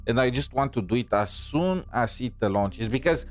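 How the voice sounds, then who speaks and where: A man's voice: mid-range voice, lively intonation, moderate pace, faint music behind. A man livestreaming a game, giving casual, musing commentary with some hesitation.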